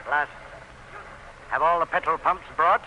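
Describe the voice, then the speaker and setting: An actor playing a defiant, domineering plantation owner who barks orders and boasts in old-time radio drama style. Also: male voice, fairly high pitch, a little slow, dull audio, a little hiss or hum, natural voice. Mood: confident, brisk. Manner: commanding, boastful, barking orders.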